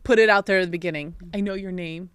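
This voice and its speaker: A woman's voice: lowish voice, steady tone, fast, a bit bright, clear, resonant tone, no echo, studio quality. A woman hosting an interview podcast, asking questions in a friendly, chatty way. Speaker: podcast host.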